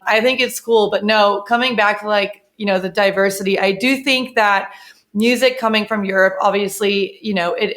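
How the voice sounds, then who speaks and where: A woman's voice: mid-range voice, fairly bright tone. A woman from the Netherlands, a guest on an interview show, talking in a chatty, rambling, casual way. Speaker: PR agency owner, guest. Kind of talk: interview conversation.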